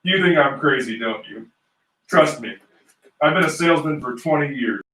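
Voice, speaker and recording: Man playing a bright, confident salesman. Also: mid-range voice, expressive, moderate pace. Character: confident, chatty veteran businessman salesman. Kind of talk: theatrical script reading. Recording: echoey room, distant mic.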